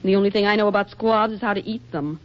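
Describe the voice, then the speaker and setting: A woman's voice: medium pitch, fast talker, lightly acted. A woman acting in a 1940s radio comedy as a flustered, helpless society hostess, fluttery and melodramatic. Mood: flustered complaining. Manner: fluttery, exaggerated, dramatic. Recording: dull vintage 1940s radio recording.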